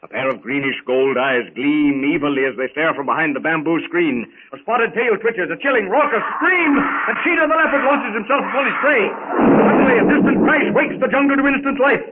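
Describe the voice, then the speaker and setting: A man's voice: high-pitched, animated, fairly quick, few pauses. A man narrating a jungle adventure serial in florid, theatrical 1930s radio style. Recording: tinny, hissy 1930s radio recording.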